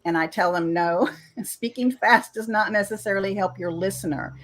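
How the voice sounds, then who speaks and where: Woman coaching clear speech. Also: mid-range voice, normal speed. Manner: lively, explains points clearly. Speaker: English communication coach. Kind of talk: casual interview chat.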